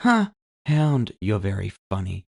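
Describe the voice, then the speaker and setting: A man voicing a brash, sharp-tongued woman with a casual, sardonic, teasing manner in a cyberpunk audio drama. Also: fairly low pitch, very animated, measured pace, vocal fry, heavily acted. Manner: casual, sardonic, foul-mouthed. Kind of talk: audio fiction character dialogue.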